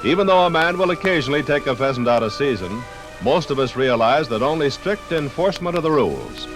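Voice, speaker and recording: A male narrator reads formal script for a 1950s radio documentary. His voice sits at a medium pitch, with music clearly playing behind it. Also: big pitch swings, a little fast.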